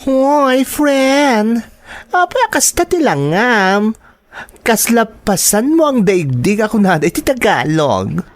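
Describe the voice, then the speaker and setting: A woman with an Ilocano accent playing a breezy, chummy young student friend in a radio drama, expressive and drawing out her words. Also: a little high, slow. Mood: teasing, curious.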